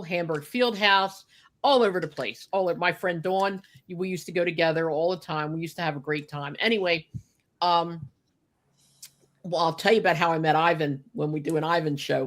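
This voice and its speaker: A woman's voice: deep voice, a bit bright. An older woman reminiscing in casual, unscripted talk as a podcast host, chatty and emphatic, with frequent asides to her listeners. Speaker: podcast host telling personal memories.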